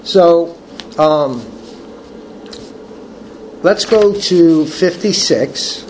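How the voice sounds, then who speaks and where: An older man leading a recovery study group, reading from the book and sharing personal testimony in a halting, earnest way with many restarts. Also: fairly high pitch, animated, a little mellow, a little hiss or hum, low-quality recording. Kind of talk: casual talk reading from a book.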